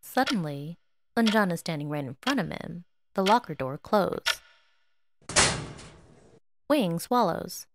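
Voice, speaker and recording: A woman narrating a piece of fiction as an expressive, scripted audio story reading. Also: on the low side, a little slow, long pauses, heavy background noise.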